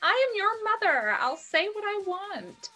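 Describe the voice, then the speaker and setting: Young woman acting bright, sassy doting mother. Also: very high-pitched, big pitch swings, a little slow, soft background music, exaggerated voice. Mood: mock stern. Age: young adult. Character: doting, sassy, blunt fifty-year-old mother. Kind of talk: acted play reading. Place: home bedroom, indoors. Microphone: video call on computer.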